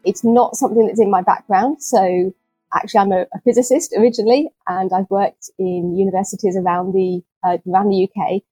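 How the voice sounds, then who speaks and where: A woman guest on a nature podcast, answering in a gentle, unhurried way with small restarts and soft laughs, sounding a little low on energy. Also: steady tone, slightly rough, dry recording, faint music behind, poor audio. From UK, not Scotland. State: chronically ill, speaks with low energy. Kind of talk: conversational interview answers.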